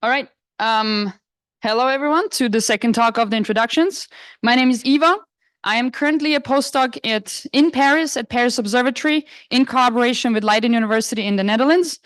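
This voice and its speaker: A young woman from Croatia, a postdoc, giving a conference lecture in a relaxed, casual manner with frequent fillers. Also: a little high, a little fast, no room sound. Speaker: astronomy lecturer. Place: lecture hall.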